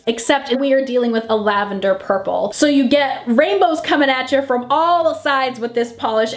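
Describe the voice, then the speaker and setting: Gushing woman reviewing products, casual and chatty. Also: fairly high pitch. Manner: gushing, emphatic, repeats words for emphasis. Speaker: nail polish reviewer and vlogger.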